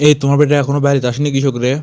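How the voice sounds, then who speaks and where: Man playing fast-ranting angry Bangladeshi cartoon father. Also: mid-range voice, level tone, a crowd in the background, natural voice. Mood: angry, complaining. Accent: Bogura, northern Bangladesh. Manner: scolding, blustering, full of threats. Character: angry, threatening village father scolding wife and son. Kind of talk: amateur comic cartoon dub.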